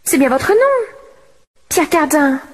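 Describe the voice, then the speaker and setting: A woman's voice: high voice, big pitch swings, slow, exaggerated voice. A woman playing a soothing, smitten teenage girl from the fifties in an amateur film dub. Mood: coy, smitten.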